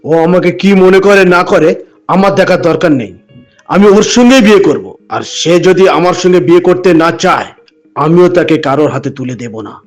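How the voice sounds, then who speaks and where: A man's voice: sits high, lively intonation, normal speed, soft background music. A man voicing a pushy, leering village suitor who bullies and threatens in a cartoon. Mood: angry, threatening. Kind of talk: cartoon voice acting.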